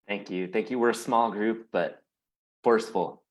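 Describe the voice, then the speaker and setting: A man's voice: lowish voice, steady tone, normal speed. A man hosting a casual online interview show. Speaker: host of a weekly chat show.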